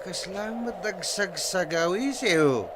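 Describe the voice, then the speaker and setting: An actor playing an excitable, whiny village drinker in a Filipino radio comedy drama. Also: male voice, high-pitched, slow pace, background noise. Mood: alarmed. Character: excitable drinking village husband with a battered house.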